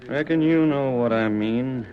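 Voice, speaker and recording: A man's voice: medium pitch, measured pace, lightly acted. A man playing a tough, terse undercover lawman with folksy frontier speech in an old-time radio Western. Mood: firm, threatening. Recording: dull vintage radio recording with chatter behind.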